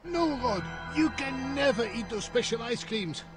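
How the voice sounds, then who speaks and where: A man's voice: extremely high pitch, expressive, a little slow, music in the background. A man voicing a stern father who lectures his son firmly. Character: stern father, ice cream maker.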